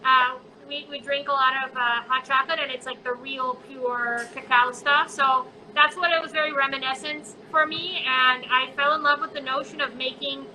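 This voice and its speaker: A woman's voice: sits high, fairly even intonation, moderate pace, few pauses, sharp tone, slight background noise, low-quality recording. A woman who grew up in Colombia, a chocolate maker chatting in an online interview, talking casually with many fillers. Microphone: phone on a live video chat.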